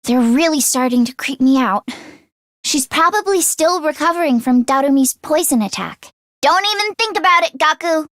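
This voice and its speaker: A woman voicing a nervous, expressive teenage girl fighter in an English video game, with casual youthful phrasing. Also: high-pitched, moderate pace, dry recording, clean studio sound. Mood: serious, uneasy.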